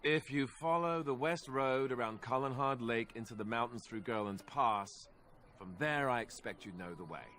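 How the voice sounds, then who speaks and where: A man's voice: mid-range voice, normal speed, a bit bright, a little hiss or hum, lightly acted. Sardonic young male warrior, played by an actor. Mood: earnest, uncertain. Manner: dry sarcasm, hesitant and conversational. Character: young male warrior, sarcastic, self-deprecating, earnest companion. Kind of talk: fantasy video game dialogue acting.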